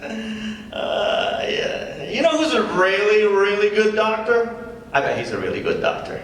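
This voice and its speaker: A man acts out a cocky, needling stand-up comedian in a live dramatic reading, defensive and a little awkward. His voice is high and very animated, heard from a distance in a reverberant hall. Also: unhurried, full character voice. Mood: cocky, teasing. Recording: distant echoey hall, faint noise.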